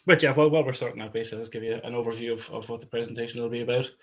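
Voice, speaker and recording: A man's voice: medium pitch, steady tone, very fast. A man giving an informal technical presentation on a video call, often restarting his phrases as he goes. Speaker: technical presenter, DevOps consultant. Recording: dull lo-fi video call audio.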